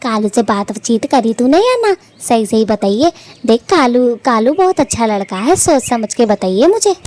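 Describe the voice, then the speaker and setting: Woman as a high, animated, doting elder-sister bird. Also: moderate pace. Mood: coaxing. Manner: coaxing, folksy, emphatic. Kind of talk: cartoon story voice acting.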